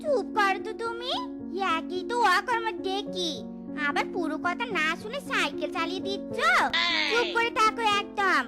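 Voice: very high voice, moderate pace. A performer voicing a bossy, hot-tempered, scheming wife in a cartoon, melodramatic and scolding. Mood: bossy, angry. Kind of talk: cartoon voice acting. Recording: music behind the voice.

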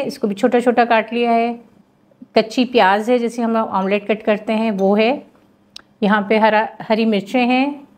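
A woman's voice: a little high, darkish tone. A woman, hosting a home cooking show and explaining each step in a chatty way with many fillers. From Pakistan.

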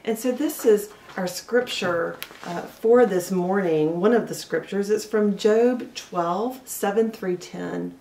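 Slow, earnest woman pastor preaching from home. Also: mid-range voice, gravelly, warm tone. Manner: earnest storytelling, slows down for emphasis. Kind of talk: sermon. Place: living room at home, indoors. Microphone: camera a little way off, seated facing it. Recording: roomy sound from a distance.

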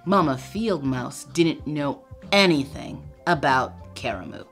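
A woman's voice: deep voice, expressive, takes it slowly, faint music behind. An older woman reading a children's story aloud expressively, with asides to young listeners, indoors on a webcam. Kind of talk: children's read-aloud narration. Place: indoor room with bookshelves.

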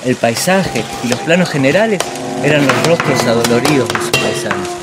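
An actor playing a pompous, bullying master chef and dreamy film snob in a radio play, theatrical. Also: male voice, medium pitch, moderate pace, heavy background noise, natural voice. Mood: musing, pompous. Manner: pompous, digressive, theatrical monologuing.

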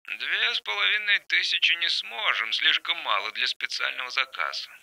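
A man voicing a curt, doubtful male production manager in an audiobook, speaking quietly and matter-of-factly. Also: on the high side, normal speed, vocal fry, voice pushed up, full character voice, rough recording. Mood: reluctant.

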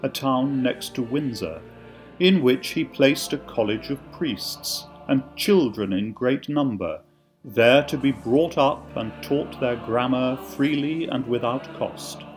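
A man's voice: medium pitch, slow pace. A man giving a formal ceremonial reading of an old text. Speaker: college official giving a reading. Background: music.

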